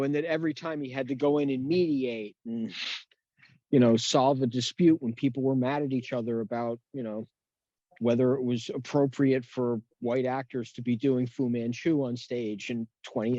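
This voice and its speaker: A man's voice: mid-range voice, steady tone, moderate pace, warm tone, no room sound. A man reminiscing casually and in a rambling way on a group video call. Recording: dull, dry video-call audio.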